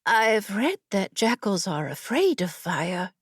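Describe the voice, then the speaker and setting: A woman's voice: medium pitch, very animated, slow, breathy edge, no echo, studio quality, heavily acted. Woman voicing an eager, bookish young woman traveler. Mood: nervous, hesitant. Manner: wordy, musing, bookish. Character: eager bookish young woman traveler, wordy, self-conscious story collector.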